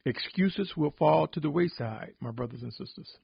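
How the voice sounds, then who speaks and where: A man giving a solemn, sermon-like podcast reflection, exhorting deliberately with emphatic repetition in a husky, gravelly voice. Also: on the high side, dry recording, muffled recording. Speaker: religious podcast host and preacher.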